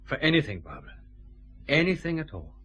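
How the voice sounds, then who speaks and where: An actor playing a calm, authoritative detective chief inspector with a Scottish accent in a radio drama. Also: male voice, fairly high pitch, brisk. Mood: grave, gentle.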